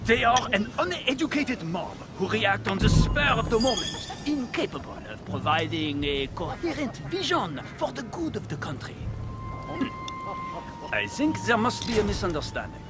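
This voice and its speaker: Snobbish nobleman, high voice, swooping intonation, formal and condescending, game cutscene. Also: sing-song, moderate pace. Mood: disdainful, indignant. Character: haughty aristocratic Frenchman, elitist, impatient and condescending. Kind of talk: dramatic video game dialogue. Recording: music behind the voice.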